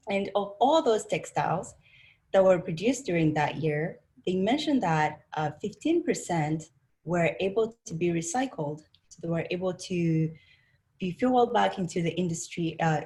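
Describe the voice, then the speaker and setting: A woman from Rwanda giving an academic presentation over a video call, speaking in a measured way with frequent pauses and fillers. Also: lowish voice, darkish tone. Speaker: presenter, design instructor.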